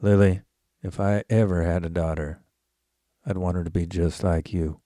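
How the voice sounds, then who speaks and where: Man acting a low, mellow, weary rancher father. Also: fairly even intonation, normal speed, no echo, studio quality, lightly acted. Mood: solemn, tender. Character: weary, resolute rancher father, stubborn and tender. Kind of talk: fan audio drama acting of a Western.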